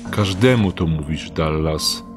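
An actor playing a weary male thief in a radio drama, speaking curtly and dryly. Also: unhurried. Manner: curt, dry, low-key. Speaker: thief Dieter. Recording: radio drama with music behind.

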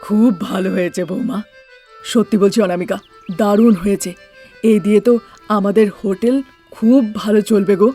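A woman's voice: mid-range voice, fairly even intonation, normal speed, long pauses, mellow, slightly rough, faint music behind, lightly acted. A woman voicing a cheerful, fond and slightly bossy older mother-in-law in a cartoon story. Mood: delighted.

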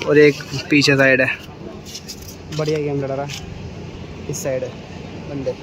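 Young guy calling kite fights on a rooftop, dead flat voice, phone mic with traffic noise behind. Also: medium pitch, muffled recording. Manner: steady running commentary, flat delivery. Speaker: kite fight commentator filming. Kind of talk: live kite fighting commentary. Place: outdoors on a city rooftop. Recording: outdoor phone recording with street noise.

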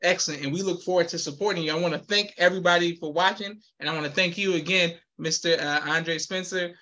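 Fast bright-voiced young podcast host. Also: male voice, high voice, steady tone, dull audio. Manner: upbeat, formal thank-yous, affirming. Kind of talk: hosting an interview. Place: indoor room with posters. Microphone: video call, webcam.

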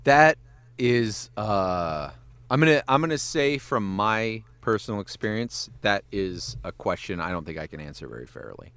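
A man's voice: on the low side, very animated, normal speed, fairly bright tone, clean tone, no echo. A man, a voice actor and animator, giving casual podcast advice, swearing freely and breaking into voice demonstrations.

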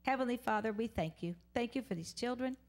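A woman's voice: on the high side, brisk. A woman giving a children's sermon in a church, talking simply and asking the kids questions. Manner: simple, coaxing, call-and-response questions. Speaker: children's message leader. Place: church sanctuary in front of seated children.

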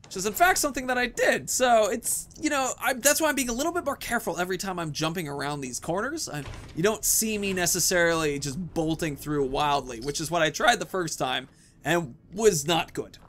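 Higher-voiced man narrating his gameplay. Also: animated, fairly quick, fairly bright tone, a little hiss or hum. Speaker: gaming video host. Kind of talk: casual gameplay commentary.

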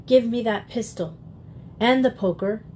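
A woman voicing a bold, theatrical gentleman robber who talks in a gallant, commanding way. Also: a little high, measured pace, a little hiss or hum, lightly acted. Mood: urgent.

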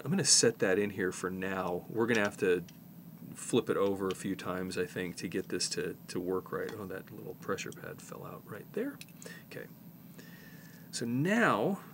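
A man in his fifties with a slight head cold, presenting a casual hands-on repair tutorial in an informal, halting way as he works. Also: on the low side, animated, slight background noise. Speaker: presenter, tape repair technician.

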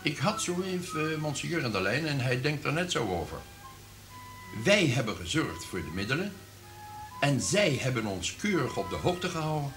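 Commanding colonel, grandiose media schemer, radio drama, swooping intonation, music behind. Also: male voice, medium pitch, sing-song, moderate pace. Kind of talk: radio play acting.

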